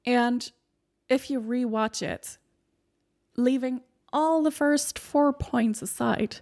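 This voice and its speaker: A woman's voice: a little high, slow, vocal fry. A woman hosting her own podcast as a speaking coach, with a soothing voice, talking listeners through numbered tips in an encouraging tone. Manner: warm, encouraging, lists points by number. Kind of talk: solo podcast advice monologue. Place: home studio in the UK.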